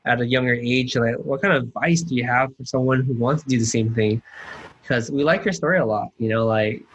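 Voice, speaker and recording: A man co-hosting a podcast interview, asking questions in a relaxed, friendly way. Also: medium pitch, fairly quick, dull audio, slight background noise. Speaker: podcast co-host.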